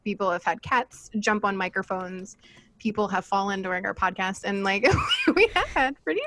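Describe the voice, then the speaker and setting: A woman's voice: medium pitch, moderate pace, noisy background. A woman moderating a casual panel talk, giving advice in an informal, chatty, encouraging way. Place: live panel discussion.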